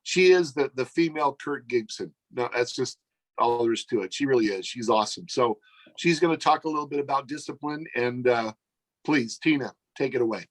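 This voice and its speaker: A man's voice: medium pitch, a little fast, low-quality recording. A man hosting a video call, enthusiastically praising and introducing the next speaker. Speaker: host.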